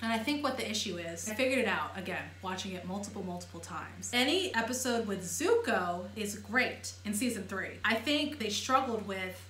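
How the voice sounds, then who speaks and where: A young woman with a slightly hoarse voice gives an expressive fan commentary on a cartoon, talking with her hands. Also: medium pitch, echoey room, slight background noise. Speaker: vlogger reviewing a cartoon. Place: living room, seated on a sofa.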